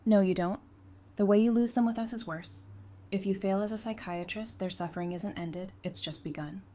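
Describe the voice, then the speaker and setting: A woman's voice: medium pitch, normal speed, mellow, natural voice. A woman playing an earnest, blunt but consoling survivor of a zombie apocalypse in an audio drama. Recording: clear background noise.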